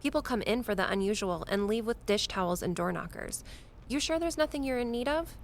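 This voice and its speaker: A woman's voice: fairly high pitch, lively intonation, brisk, slight background noise. A woman playing a wry, witchy shopkeeper in an audio drama, with playful dry humor and faint ambience behind her. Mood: playfully dry. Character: wry, witchy middle-aged woman shopkeeper selling esoteric curios.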